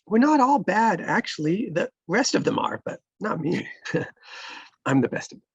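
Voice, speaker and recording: Someone reading a flirty smug guy cop, gravelly voice, easygoing, dull-sounding mic, table read. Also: medium pitch, huge pitch swings, measured pace, no echo, heavily acted. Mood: teasing. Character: self-satisfied, friendly, flirty male police officer.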